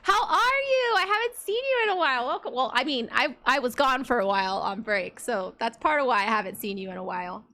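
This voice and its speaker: A congested woman talks to her stream while she plays a game, and her voice sits high and bright. She rambles along casually with plenty of fillers. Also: lively intonation, fairly quick, few pauses. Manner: chatty, rambling, many fillers. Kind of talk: casual let's play chat.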